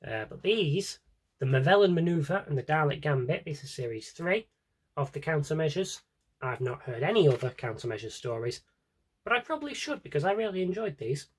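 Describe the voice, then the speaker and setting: A man's voice: a little high, sing-song, fairly quick, slightly rough. A young British man chatting casually through a show-and-tell, with dry, self-deprecating humour and rambling asides. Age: young adult. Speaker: video creator.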